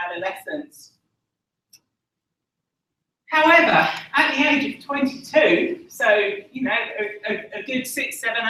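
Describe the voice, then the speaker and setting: A woman's voice: medium pitch, a bit bright. A woman with an Essex accent giving a candid personal testimony at a conference podium, earnest and self-deprecating, with frequent ums. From Essex, England. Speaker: conference speaker. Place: large conference room. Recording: echoey hall from far off.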